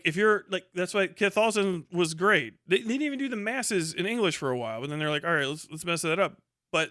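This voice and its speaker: A man's voice: a little high, a bit bright, no echo, clean studio sound. A man delivering a casual, ranting livestream monologue in a colloquial, rambling, sweary way. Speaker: livestream host.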